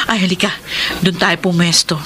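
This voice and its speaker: A woman's voice: fairly low pitch, steady tone, unhurried, noisy background, natural voice. A Filipino woman acting in a radio drama as a devoted, hopeful wife, speaking earnestly and tenderly. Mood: caring, affectionate. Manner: earnest, tender, sentimental delivery. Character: devoted, hopeful wife living with HIV, caring for her husband. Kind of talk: Filipino radio drama acting and first-person letter narration. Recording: radio drama with music underneath.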